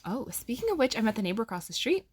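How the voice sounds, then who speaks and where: A woman acts a sweet, polite teenage girl in an amateur audio drama. She speaks quickly and earnestly in a high voice, recorded dry with no room sound. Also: very animated, husky, no room sound. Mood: upbeat, earnest.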